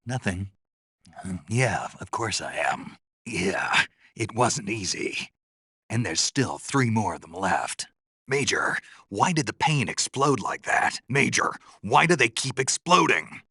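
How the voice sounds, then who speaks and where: A man playing a gruff, terse American soldier on a covert mission in a video game, with a low, gravelly, husky voice. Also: lively intonation, pushed and bright, no room sound, full character voice. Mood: businesslike, then troubled, questioning. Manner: terse, deliberate, dry. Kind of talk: dramatic game voice acting.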